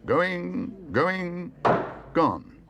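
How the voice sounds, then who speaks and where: A man voicing a brisk, formal auctioneer in a storybook, calling out bids in a showy, pressing chant. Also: sits high, big pitch swings, creaky, very noisy, pitched above the performer's own voice, heavily acted.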